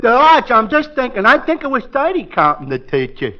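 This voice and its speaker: An actor playing an earnest, dopey, slow-witted barfly in 1940s radio comedy style. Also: male voice, very high voice, wildly swooping pitch, heavily acted. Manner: dopey, plodding. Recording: muffled, very noisy old radio.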